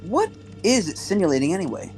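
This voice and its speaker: A man's voice: sits high, very animated, a little fast, darkish tone. A man plays a young alien trainee in an audio drama. He sounds questioning and hesitant, naive yet a little snobbish, with faint music behind the voice. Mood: curious, puzzled.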